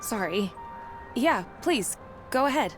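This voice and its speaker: A newspaper reporter in an adventure game, polite but probing. Her voice sits a little high, with faint music underneath. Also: expressive, slow. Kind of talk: video game character dialogue.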